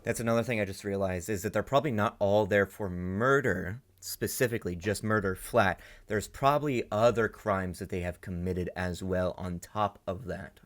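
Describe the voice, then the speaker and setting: Low-voiced man musing through a reaction. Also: moderate pace, few pauses. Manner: musing, thinks aloud, repeats words. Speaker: reaction video host. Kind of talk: casual reaction commentary.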